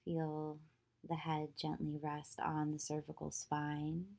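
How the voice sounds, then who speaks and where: A woman leading a guided meditation as a yoga nidra teacher, soothing and measured, with long pauses between short phrases. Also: deep voice, monotone, creaky, a little mellow.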